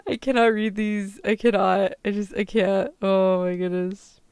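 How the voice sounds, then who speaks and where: Creaky, unhurried young-sounding woman streamer. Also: mid-range voice, flat delivery, darkish tone. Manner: casual, loose, filler-heavy speech with frequent "like". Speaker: VTuber streamer practicing ASMR roleplay. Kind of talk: casual livestream chat, roleplay script practice.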